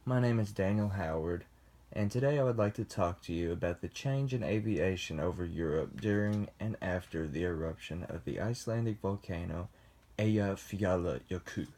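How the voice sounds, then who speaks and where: A young man giving a class presentation, reading slowly and deliberately with pauses. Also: low voice, dark tone. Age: young adult. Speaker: student presenter. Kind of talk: class presentation read from script.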